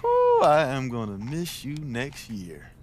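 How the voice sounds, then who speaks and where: Cocky young American roommate, swooping pitch. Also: male voice, wildly swooping pitch, slow pace, noisy background. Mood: sincere prodding. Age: young adult. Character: cocky, teasing young male college roommate and teammate. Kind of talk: casual banter, game cutscene acting.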